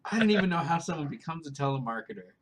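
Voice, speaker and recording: A man's voice: a little high, animated, a bit bright. A man bantering in an amused, jokey way as a podcast co-host. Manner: jokey, amused, quick to laugh. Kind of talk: casual chat, bantering. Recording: faint noise, captured podcast audio.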